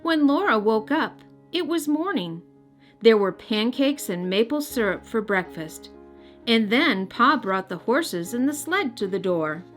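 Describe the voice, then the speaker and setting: A woman's voice: on the high side, expressive, a little slow. Woman reading a children's storybook, music behind. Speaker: host and storybook reader. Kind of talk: children's read-aloud narration.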